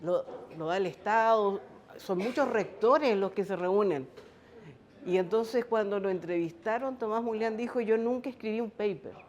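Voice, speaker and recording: A woman with a Chilean accent talks on a discussion panel at a mid pitch. She reminisces in a loose, conversational way, with fillers and asides. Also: moderate pace, darkish tone. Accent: Chilean Spanish.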